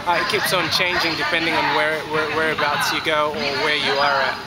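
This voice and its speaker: A man in his late twenties from Kenya, answering in a measured, thoughtful way in a street interview. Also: fairly high pitch, flat delivery, poor audio. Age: 20s. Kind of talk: vox pop interview. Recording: loud crowd and music outdoors.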